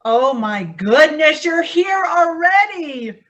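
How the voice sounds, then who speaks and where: Actress as a bubbly older lady friend, high, lilting, slow, lo-fi take. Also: big pitch swings, fairly bright tone, heavily acted. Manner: bubbly, effusive. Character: Margaret, lively older woman friend. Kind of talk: staged play reading. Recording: dull lo-fi sound.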